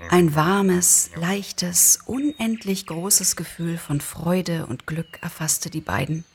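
A woman narrator reading a story aloud in an expressive, scripted style. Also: lowish voice, slow pace, a little hiss or hum.

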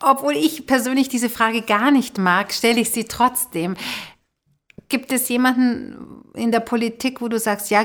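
A middle-aged woman hosting a podcast, chatting conversationally with her guest. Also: mid-range voice. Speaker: podcast host, interviewer. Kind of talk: conversational interview.

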